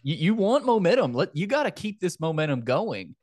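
A man's voice: fairly high pitch, sing-song, fairly quick, a little mellow. A man hosting a sports podcast in casual talk, often repeating phrases for emphasis.

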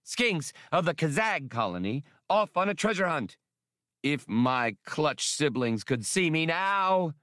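A man doing an excitable high-pitched little alien musician. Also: dry recording, clean studio sound. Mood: excited, triumphant. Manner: quick, excited speech. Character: small many-limbed insect-like alien musician, excitable and quick-talking. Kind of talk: audiobook character voice.

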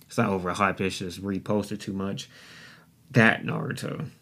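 A man's voice: deep voice, steady tone, measured pace, a bit bright. A young man hosting his own podcast, talking alone in a loose, rambling, profane and self-mocking way into a desktop microphone. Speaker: podcast host. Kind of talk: casual solo podcast monologue. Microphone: desktop USB microphone.

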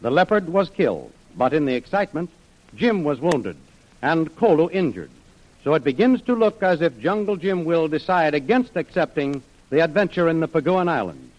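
A man's voice: a little high, very animated, normal speed. A man narrating a 1930s radio adventure serial as its announcer, reading from a script. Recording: dull old radio recording with music. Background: music.

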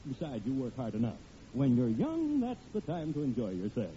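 Blustery, swooping-voiced store boss. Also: male voice, mid-range voice, normal speed. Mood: wheedling. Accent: American. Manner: excitable, stammering, wheedling. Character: blustery, scheming middle-aged store boss, doting father. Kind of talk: old-time radio sitcom acting. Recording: muffled, noisy vintage radio recording.

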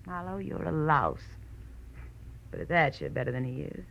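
An actress playing a sultry, bitter, scheming wife in a 1940s radio noir drama, with pointed, theatrical delivery. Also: female voice, sits low, very animated, normal speed, vocal fry, fairly bright tone, full character voice. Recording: dull vintage radio recording.

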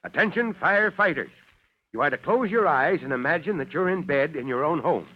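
Higher-voiced, authoritative fire chief. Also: male voice, expressive, brisk. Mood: official, instructive. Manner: crisp, official, addressing children. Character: authoritative fire chief instructing young listeners. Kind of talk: old-time radio scripted address. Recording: dull vintage radio recording.

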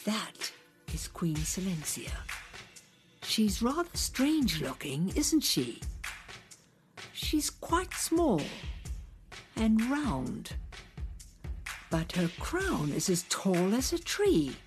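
A woman narrating a children's radio play as a playful, expressive storyteller speaking to young listeners. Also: medium pitch, drawn-out words, long pauses. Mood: storytelling. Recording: music behind the voice.

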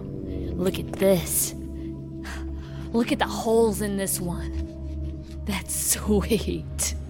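An actress playing a menacing, volatile hostage-taker in a sci-fi audio drama, cocky, taunting and quick to snap. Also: female voice, mid-range voice, creaky. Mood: smug, gloating. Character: volatile, menacing female gunwoman holding a hostage. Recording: music under the voice.

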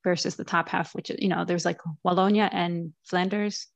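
A woman's voice: lowish voice, steady tone, moderate pace, creaky, no room sound, dull audio. A woman hosting a podcast interview, asking questions casually and affirming often. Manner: frequent backchannel affirmations.

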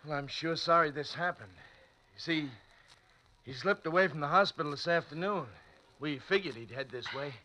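A man playing a calm hospital attendant explaining a patient's escape, in a 1940s radio drama. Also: fairly high pitch, normal speed. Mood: matter-of-fact. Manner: plain, matter-of-fact.

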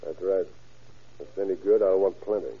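Blunt, wary undercover man, lowish voice, tinny vintage radio sound. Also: brisk, breathy edge. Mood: wary, impatient. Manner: blunt, businesslike. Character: brisk, wary undercover agent posing as drug buyer. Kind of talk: 1940s radio acting. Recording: tinny, hissy old radio recording.

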